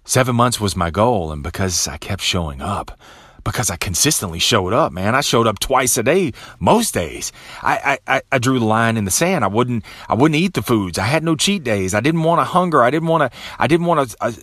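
A man's voice: brisk, no room sound, clean studio sound. Man giving a casual, profane pep talk. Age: 30s. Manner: casual, profane, says "man" and "bro" constantly; swings between animated bursts and low, quiet asides. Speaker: podcast host. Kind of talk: motivational monologue.

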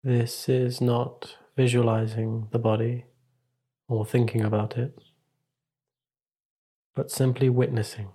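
A male meditation teacher guides a practice in a calm, measured voice. His delivery is even and nearly level in pitch, with a slightly husky grain. He leaves long pauses between short instructive phrases.

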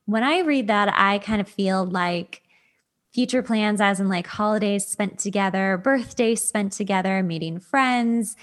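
Woman, podcast host; casual conversational delivery with animated asides, mid-range female pitch. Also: unhurried. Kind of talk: casual chat.

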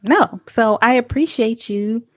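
A woman hosting a casual interview over a video call, upbeat and quick to laugh. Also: fairly high pitch, dry recording, studio quality. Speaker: host.

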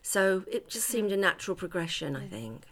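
A woman's voice: fairly low pitch, sing-song, moderate pace, noisy background. A woman with a British accent in a casual interview, speaking as a local event organiser. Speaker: flower show organiser, interviewee.

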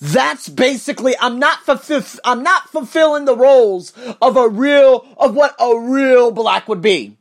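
A man hosting a podcast, delivering an emphatic, profane monologue to camera with sudden rises in pitch and frequent restarts. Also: unhurried, hardly any pauses. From United States. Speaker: podcast host.

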